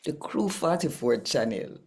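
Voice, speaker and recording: A Jamaican woman with a husky, gravelly voice, hosting a scripture reading channel in a deliberate, emphatic manner. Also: sits low, lively intonation, darkish tone. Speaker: host and scripture reader.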